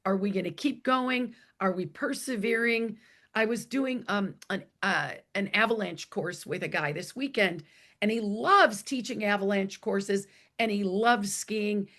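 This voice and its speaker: Hoarse-voiced woman explaining in an interview. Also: mid-range voice, measured pace, fairly bright tone. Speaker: interview guest, author and executive coach.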